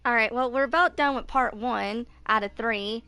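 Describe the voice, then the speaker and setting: A woman in her twenties streaming a video game, chatting casually and reading aloud in a halting way, stumbling over words. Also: on the high side, bright, forceful tone, clear, resonant tone, no room sound. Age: 20s.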